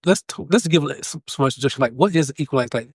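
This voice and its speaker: A man with a mid-pitched voice in a dry, close recording. He hosts casually, tossing out informal questions and brief acknowledgements to keep the conversation going. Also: racing, breathy edge, no echo. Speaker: podcast host, interviewer. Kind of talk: casual interview chat.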